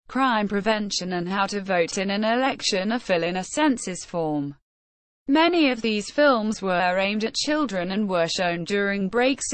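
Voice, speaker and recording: A woman reading an encyclopedia article aloud as scripted narration in a formal, impersonal, measured manner. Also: medium pitch, dry recording.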